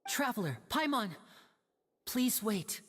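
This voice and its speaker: A woman voicing a gentle, earnest young male orphan magician in an anime game, soft-spoken and sincere. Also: on the high side, big pitch swings, slow pace, husky, long reverb tail, full character voice. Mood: apologetic, earnest.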